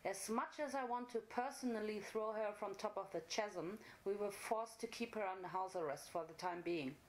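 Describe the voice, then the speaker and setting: A woman's voice: mid-range voice, normal speed, lightly acted, poor audio. Storybook role: grave, strict mother unicorn, village chieftain, protective underneath, played by a woman.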